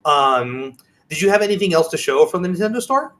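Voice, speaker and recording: A man with a fairly high voice chats casually as a podcast co-host. He presents in a halting way, often breaking off and restarting his own sentences. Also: expressive, a bit bright. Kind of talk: casual show-and-tell chat.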